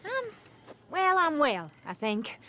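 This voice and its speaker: A young actress playing a gentle, thoughtful woman in an outlaw gang, speaking softly and earnestly in a video game cutscene. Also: high-pitched, huge pitch swings, slow pace, full character voice.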